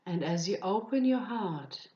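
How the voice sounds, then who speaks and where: Slow soft-spoken woman guiding meditation. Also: mid-range voice, lively intonation, muffled recording. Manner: slow, soft, soothing with breathy whispered phrases. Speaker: meditation guide. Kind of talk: guided meditation.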